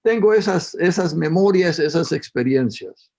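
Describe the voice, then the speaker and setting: A man's voice: on the high side, animated, unhurried, vocal fry, a little mellow. A middle-aged man from Costa Rica, a panel guest reminiscing in a reflective discussion, with hesitant restarts.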